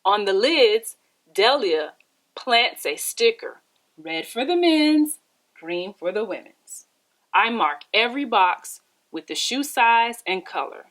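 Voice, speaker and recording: A woman reading a children's story aloud in an expressive, deliberate storytelling style. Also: a little high, low-quality recording. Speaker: read-aloud storybook reader.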